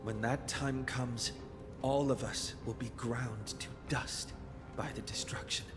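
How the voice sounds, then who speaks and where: An actor playing an earnest, defiant young male hero in a video game, speaking in a solemn, measured, declarative way. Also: medium pitch, fairly even intonation, lightly acted. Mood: grave, warning. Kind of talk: dramatic video game voice acting. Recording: long reverb, loud music underneath.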